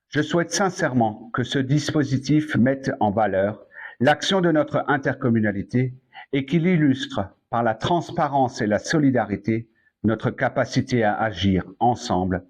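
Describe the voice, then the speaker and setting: A man delivering a prepared, formal political speech as a council president, in a measured, deliberate manner with short, careful phrases. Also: mid-range voice, highs cut off. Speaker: president of an intercommunal council addressing elected officials.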